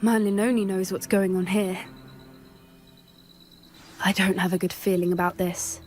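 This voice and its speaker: An actress playing a cautious, observant young witch in a video game, earnest and measured. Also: mid-range voice, fairly even intonation, darkish tone, soft background music, lightly acted. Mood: cautious, uneasy. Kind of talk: video game cinematic acting.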